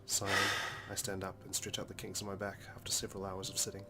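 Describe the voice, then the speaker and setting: A man doing a Let's Play, dramatically reading visual novel narration in a serious, measured storytelling manner. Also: sits low, monotone, heavy background noise. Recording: loud music behind the voice. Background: game soundtrack music.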